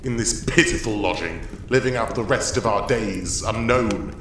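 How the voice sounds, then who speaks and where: An actor playing a proud, bitter, obsessed expedition leader and husband, delivered as grandiose, theatrical first-person audio drama narration. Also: male voice, fairly low pitch, normal speed, background noise, natural voice. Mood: angry, defiant.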